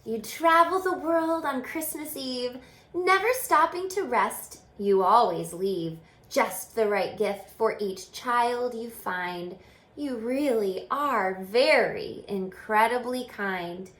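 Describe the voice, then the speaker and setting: A woman's voice: fairly high pitch, animated, slow, fairly bright tone. A woman voicing a small, earnest, selfless male Christmas elf from a storybook in a sincere, sing-song way. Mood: tender, singing. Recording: roomy, echoing room sound.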